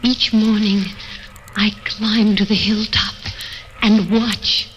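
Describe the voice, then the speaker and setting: An actress playing the tender imagined voice of a captive's beloved, measured and dreamlike, in vintage radio horror style. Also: female voice, mid-range voice, flat delivery, a bit bright. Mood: rapt, visionary. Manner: measured, earnest, dreamlike delivery. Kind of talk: 1940s radio horror acting. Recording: vintage radio recording with background music.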